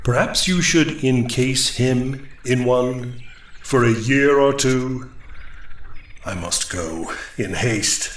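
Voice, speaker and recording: Man playing lofty godlike emissary, slow and echoing. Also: medium pitch, close to an everyday voice. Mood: stern. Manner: formal, lofty, archaic phrasing. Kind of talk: audio comedy drama acting. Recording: roomy echo on voice.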